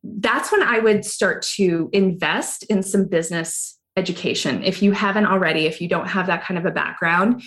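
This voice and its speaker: A woman's voice: fairly low pitch, normal speed, a bit bright. A middle-aged woman from Hawaii, a guest in an interview, speaking in a thoughtful, reflective way. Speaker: guest, yoga teacher. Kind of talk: conversational interview.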